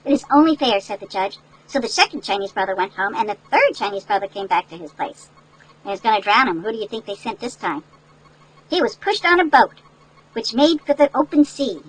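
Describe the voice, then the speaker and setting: A woman's voice: mid-range voice, lively intonation, a little fast, slight background noise, low-quality recording. An older woman, a great-grandmother, reading a picture book aloud to children with chatty, instructive asides and questions to her listeners. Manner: instructive, checks in with listeners through tag questions.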